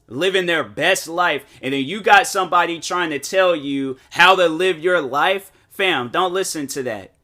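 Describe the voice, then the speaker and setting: Young male podcast host, bright forceful voice, rambling pep-talk delivery. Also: fairly high pitch, unhurried, hardly any pauses. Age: 20s. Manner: rambling, motivational, heavy filler words. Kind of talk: casual solo podcast monologue.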